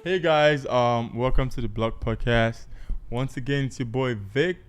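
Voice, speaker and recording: A man's voice: medium pitch, measured pace, over music. A male podcast host who rambles with lots of fillers while introducing his guests. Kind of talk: casual podcast hosting.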